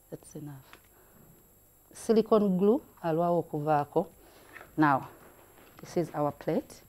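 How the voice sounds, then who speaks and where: A woman's voice: on the low side, warm tone. A middle-aged woman hosting a hands-on craft demonstration indoors, chatty and encouraging, switching between languages as she works. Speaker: craft show host. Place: indoor room at a craft table.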